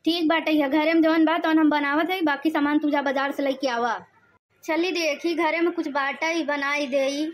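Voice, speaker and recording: A woman's voice: high-pitched, steady tone, normal speed, slight background noise, lightly acted. A woman voicing a busy, complaining young village daughter-in-law in a cartoon, with an eastern Uttar Pradesh accent. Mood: dutiful. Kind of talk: animated comedy cartoon dialogue.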